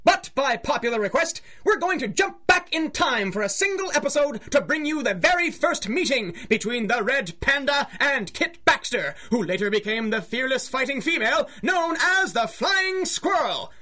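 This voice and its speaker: A man's voice: very high-pitched, sing-song, moderate pace, hardly any pauses, pushed and bright. A man announcing an old-time radio serial in a theatrical, declamatory, scripted style. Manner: grandiose, declamatory. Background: music.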